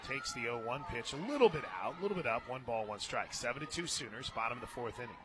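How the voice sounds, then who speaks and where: An American man doing live radio play-by-play of softball, conversational, with wry asides between calls. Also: mid-range voice, animated, fast. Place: radio booth at a ballpark. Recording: noisy outdoor ballpark broadcast.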